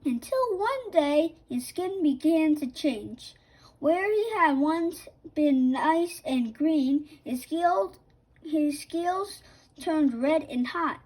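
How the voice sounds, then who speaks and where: Woman reading a kids picture book out loud, really high voice, slow, bit stumbly. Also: animated, rough recording. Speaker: children's story reader. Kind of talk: scripted storybook narration for children.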